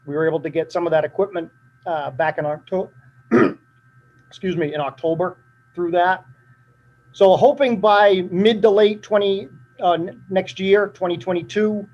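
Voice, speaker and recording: A man presenting a fire department budget at a town meeting, matter-of-fact and steady, his voice drying up. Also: high voice. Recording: dull lo-fi meeting recording.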